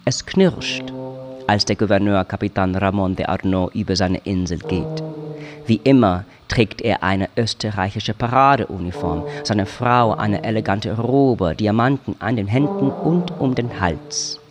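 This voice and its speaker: A man giving a measured, scripted literary narration for a radio drama, telling the story in short declarative phrases. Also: fairly low pitch. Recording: loud music under the voice. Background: loud music with brass sounds.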